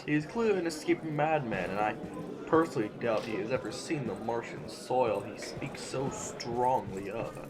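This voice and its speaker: An actor playing a stiff, officious sovereign of a Martian council in an audio drama, speaking formally and pompously. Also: male voice, medium pitch, lively intonation, moderate pace, a loud crowd, lightly acted. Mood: indignant, contemptuous.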